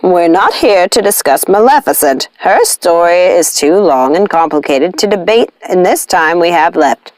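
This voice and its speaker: A woman voicing a gracious, firm nanny witch in a storybook, composed, measured and gently chiding. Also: fairly low pitch, lively intonation, no echo, natural voice. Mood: impatient.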